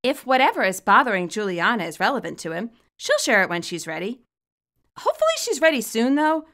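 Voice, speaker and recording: A woman reading her own fan fiction aloud as expressive, scripted story narration. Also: a little high, a little fast.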